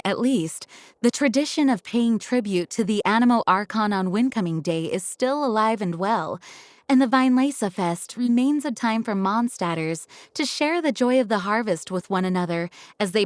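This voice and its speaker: A woman voicing a composed, dutiful knight leader in a game cutscene, speaking in a calm, formal and measured way. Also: mid-range voice, no room sound, close to an everyday voice. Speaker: acting grand master.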